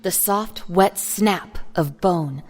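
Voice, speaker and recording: A woman narrates a scripted story in a somewhat low voice. Faint music plays behind her reading. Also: takes it slowly, darkish tone.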